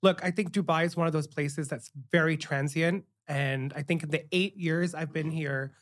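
Chatty man guest, quick and laughing. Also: fairly high pitch, fairly even intonation. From Thailand. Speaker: podcast guest, PR professional. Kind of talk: casual chat.

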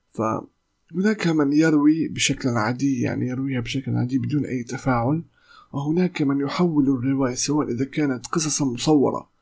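A young man from Palestine, a voice actor, hosting a solo podcast in careful formal speech, pausing often with fillers and restarts. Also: medium pitch, vocal fry, a little mellow, breathy edge. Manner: hesitant, many "uh" fillers and restarts. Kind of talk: solo podcast talk in Modern Standard Arabic.

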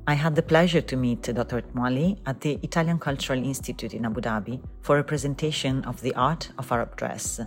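A woman's voice: very deep voice, a little fast, few pauses, soft background music. A woman hosting a podcast, delivering a formal scripted introduction and then asking interview questions. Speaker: podcast host.

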